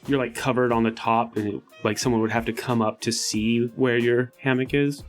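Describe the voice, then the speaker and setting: Mid-pitched man in casual game chat. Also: steady tone, moderate pace, faint music behind. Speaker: player on a tabletop RPG podcast.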